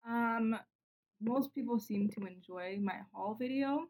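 A woman's voice: fairly high pitch, unhurried, a little mellow, poor audio. Young woman, early twenties, casual podcast guest; giggles, rambles with fillers. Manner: giggly, chatty, lots of "like" fillers. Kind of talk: casual chat.

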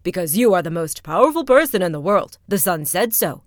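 A woman voicing a proud, self-important rat king who speaks loudly and pompously in a storybook tale. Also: lowish voice, very animated, brisk, no echo, studio quality.

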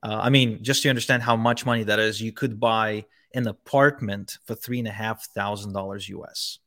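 A man's voice: on the low side, fairly even intonation, normal speed, fairly bright tone. Man from Ukraine telling his story. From Ukraine, later Israel. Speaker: podcast guest, online marketing entrepreneur. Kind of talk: conversational personal storytelling.

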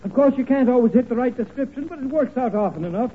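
A man's voice: very high-pitched, lively intonation, fast talker, phone-quality sound. An actor playing a talkative, easygoing policeman in a 1940s radio comedy. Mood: chatty, pleased. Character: talkative, easygoing policeman, fond of rambling anecdotes. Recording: thin, worn 1940s radio recording.

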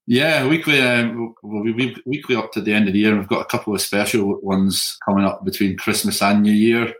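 A man's voice: lowish voice, fairly even intonation, fairly quick, fairly bright tone, rough recording. A man who runs a whiskey shop, speaking in a businesslike way in an interview over a video call. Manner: businesslike, promotional.

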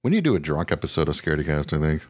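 A man's voice: very deep voice, very animated, very fast, a little mellow, no room sound, clean studio sound. A man co-hosting a podcast in casual, comedic chat, rambling and profane, often poking fun at himself. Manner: profane, self-deprecating, rambling. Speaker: podcast co-host.

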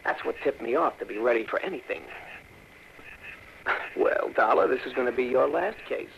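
A man's voice: medium pitch, expressive, moderate pace, vocal fry. An actor playing a cocky, menacing gangster with tough-guy slang and a taunting manner in a 1960s radio drama. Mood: gloating menace. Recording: dull, noisy old radio recording.

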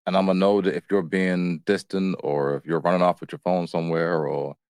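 Low-voiced American man, casual and firm. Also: steady tone, fairly quick, a little mellow, clean tone, no room sound, studio quality. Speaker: co-host of a couple's relationship show. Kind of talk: casual, unscripted relationship talk.

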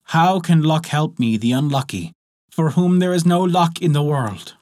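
A man playing an unlucky young village lad in a storybook, earnest and full of longing. The voice sits a little high and sounds dry and close. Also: normal speed, a little mellow, dry recording, lightly acted. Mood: lamenting. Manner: lamenting, earnest.

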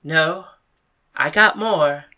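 Woman as young female fighter, earnest, stubborn, hot-tempered, forceful tone, audiobook dialogue. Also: low-pitched, drawn-out words, heavily acted. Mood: indignant.

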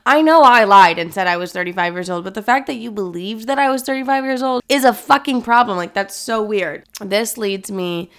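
Young girl telling stories on her show, sassy n gossipy, says like a lot, clean smooth voice. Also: medium pitch, brisk, hardly any pauses. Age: young adult. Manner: sassy, gossipy, dramatic emphasis, valley-girl "like" filler speech. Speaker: host of a solo chat show. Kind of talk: casual confessional storytelling chat.

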